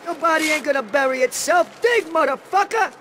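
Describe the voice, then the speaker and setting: High-pitched menacing mobster. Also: male voice, expressive, a little fast, sharp tone, slight background noise, exaggerated voice. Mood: menacing, impatient. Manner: taunting, barking. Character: impatient, menacing male mob thug. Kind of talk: dramatic game cutscene dialogue. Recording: music behind the voice.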